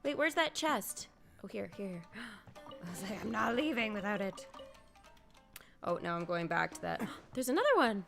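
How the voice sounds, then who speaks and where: A woman's voice: mid-range voice, animated, normal speed, vocal fry. A young woman doing casual live gameplay commentary, reacting aloud playfully and trailing off, over game music. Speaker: game streamer.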